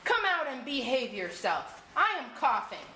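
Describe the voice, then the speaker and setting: A woman's voice: high voice, very animated, unhurried, sharp tone, heavily acted. A woman voicing a huge, hungry, gullible whale in a blunt, plaintive manner for a puppet show story. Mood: bothered, plaintive. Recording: roomy, noisy school room recording.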